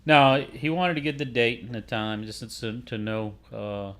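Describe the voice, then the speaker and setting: A man's voice: mid-range voice, normal speed, a bit bright. Man in his fifties asking questions. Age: 50s. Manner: casual, prompting with short questions and laughs. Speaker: interviewer, son. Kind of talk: family oral history chat.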